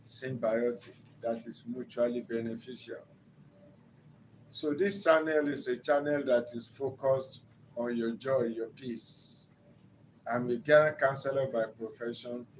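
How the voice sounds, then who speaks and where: A man's voice: medium pitch, low-quality recording. An older Nigerian man speaks as a counselor, with Yoruba as his first language. His delivery is earnest and didactic, and he builds steadily toward emphatic points. His voice sits in an ordinary middle register, with a faint hiss underneath. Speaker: relationship counselor. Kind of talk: motivational teaching talk.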